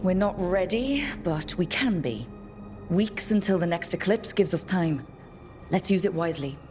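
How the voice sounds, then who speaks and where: A woman's voice: fairly low pitch, lively intonation, moderate pace, darkish tone, faint music behind, close to an everyday voice. A woman playing a resolute, plain-spoken leader rallying her team in a video game cutscene. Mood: determined.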